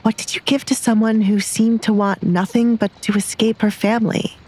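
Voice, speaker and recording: A woman's voice: a little high, level tone, normal speed, a little mellow, poor audio. A woman narrator reading scripted romance fiction as a storyteller.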